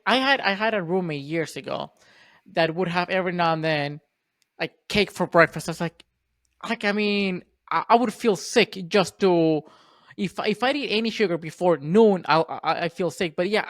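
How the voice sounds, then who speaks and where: A man's voice: high-pitched, moderate pace, a bit bright, dry recording. Hesitant man from Venezuela, dry sound. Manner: hesitant, with many repeated words and fillers. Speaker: podcast host. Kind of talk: casual interview talk.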